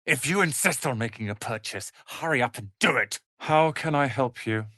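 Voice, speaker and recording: A man's voice: medium pitch, expressive, moderate pace, pushed and bright, dry recording, studio quality. A man playing a proud, prickly young apprentice wizard in a video game, speaking in a haughty, clipped and articulate way. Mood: haughty, irritated. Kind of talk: dramatic video game voice acting.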